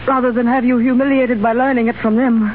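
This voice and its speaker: A woman's voice: fairly high pitch, flat delivery, fast, phone-quality sound, a little hiss or hum, close to an everyday voice. An actress playing an earnest young Russian revolutionary woman who speaks in a formal, earnest way, heard on a 1930s radio broadcast.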